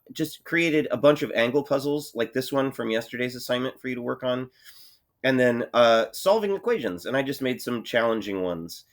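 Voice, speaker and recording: A male math teacher with a cold and a congested voice, talking his students through problems in a relaxed way, thinking aloud with many fillers. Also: medium pitch. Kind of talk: recorded lesson walkthrough.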